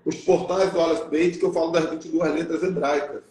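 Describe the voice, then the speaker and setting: A man from Rio de Janeiro with a Carioca accent, a guest on a live video call who chats in a relaxed, joking way. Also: a little high, brisk, echoey room. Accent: Carioca Brazilian Portuguese. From Rio de Janeiro, Brazil. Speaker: apostle, guest.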